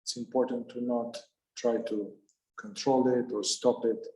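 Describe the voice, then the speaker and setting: A man leading a guided meditation, calm and unhurried, speaking in short phrases with long pauses between them. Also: medium pitch, echoey room, poor audio.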